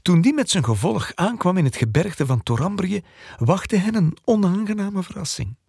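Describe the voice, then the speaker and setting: A man tells a fairy tale in a very breathy, husky voice with lively, expressive swings. The recording is dry and close, with faint music behind. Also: high-pitched, moderate pace, dry recording. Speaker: narrator. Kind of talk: scripted fairy-tale storytelling.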